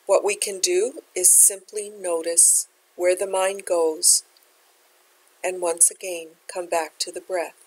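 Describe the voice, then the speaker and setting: Woman gently guiding a meditation. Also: lowish voice, level tone, takes it slowly, slightly rough, rough recording. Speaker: meditation teacher. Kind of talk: guided meditation instruction.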